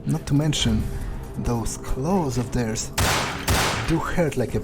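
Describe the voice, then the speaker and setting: A man's voice: mid-range voice, slow. Man playing an irritable, musing male vampire over music. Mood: irritated. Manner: hesitant, musing aloud, swearing. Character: irritable, foul-mouthed male vampire exploring sewers. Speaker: video game player. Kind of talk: in-character roleplay commentary over gameplay. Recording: music behind the voice. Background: game music.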